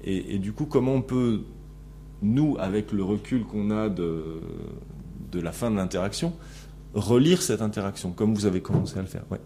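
A man's voice: on the low side, animated, normal speed, noisy background. Hesitant man lecturing a class. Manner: hesitant, many fillers and restarts, asks the class questions. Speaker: teacher. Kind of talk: classroom lecture analyzing a recorded interaction.

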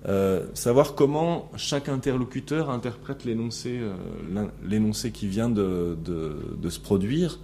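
Brief: middle-aged male teacher, low mellow voice, halting delivery full of fillers, faint hum behind. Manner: hesitant, many fillers and restarts, asks the class questions. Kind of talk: classroom lecture analyzing a recorded interaction.

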